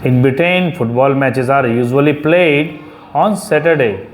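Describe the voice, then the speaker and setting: Lady teacher, low voice, reads out sentences slow and clear, faint hum behind. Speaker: English teacher. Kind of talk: repeat-after-me grammar drill.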